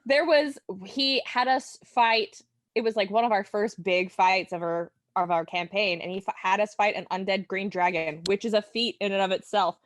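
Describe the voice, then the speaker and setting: A woman guest chatting casually and telling anecdotes, with halting starts and some laughter as she talks. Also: medium pitch, steady tone, no echo. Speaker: podcast guest, tabletop player.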